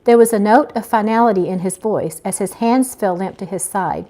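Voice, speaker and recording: A middle-aged woman giving a live, informal reading of her own fiction, expressive and unpolished, as an amateur. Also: mid-range voice, moderate pace. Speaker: author reading own novel aloud. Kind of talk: live amateur audiobook reading with casual asides.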